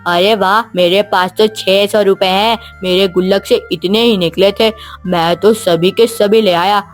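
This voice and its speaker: A woman voicing an excited, boastful young child in an animated story, bubbly and exclamatory. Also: mid-range voice, unhurried, faint music behind, lightly acted. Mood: curious, boastful. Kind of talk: animated moral story dialogue.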